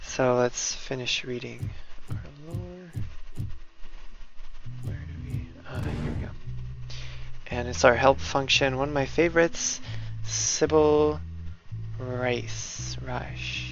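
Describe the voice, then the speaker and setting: Male game streamer, fairly low and gravelly, casual self-directed play-by-play, loud music underneath. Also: slow pace, muffled recording. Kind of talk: casual gameplay commentary. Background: loud video game music.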